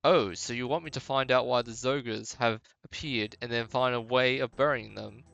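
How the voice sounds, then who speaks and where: A man's voice: medium pitch, steady tone, normal speed, gravelly, a bit bright, faint music behind. A man narrating and voicing a video game playthrough, moving between read dialogue and casual commentary. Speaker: gamer voicing a quest playthrough.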